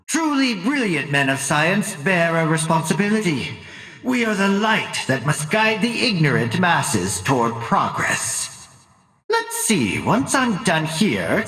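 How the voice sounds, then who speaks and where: A man playing a cruel, gleeful, condescending older mad scientist in a video game, with a theatrical, sinister voice and mocking, drawn-out articulation. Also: on the high side, bright, forceful tone, echoey room, in a large hall, heavily acted, rough recording. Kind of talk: video game villain acting.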